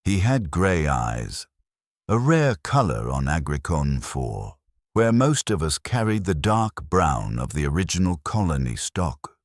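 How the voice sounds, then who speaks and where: A man narrating a scripted first-person science fiction war story in a solemn, measured, clinical way. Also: rumbling low, very animated, no echo, studio quality.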